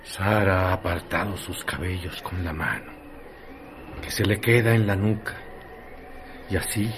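Slow, deep-voiced man narrating. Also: background noise. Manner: slow, lyrical. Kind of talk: literary radio drama narration.

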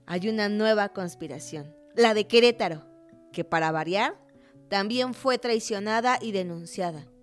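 A woman's voice: mid-range voice, moderate pace, faint music behind, close to an everyday voice. An actress playing a knowledgeable, patient woman who explains history in a conversational, didactic radio drama dialogue. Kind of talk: scripted dialogue narration.

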